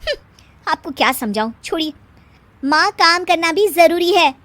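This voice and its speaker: A woman's voice: extremely high pitch, lively intonation, normal speed, a bit bright, no echo, voice pushed up. A woman voicing an overworked, touchy young working mother and daughter-in-law in a moral story. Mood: complaining. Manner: complaining, defensive.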